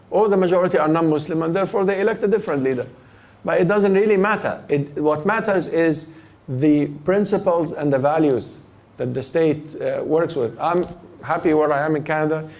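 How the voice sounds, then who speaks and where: A man's voice: a little high, darkish tone. A man giving a public lecture and taking questions, thoughtful, often restarting his phrases. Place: lecture hall.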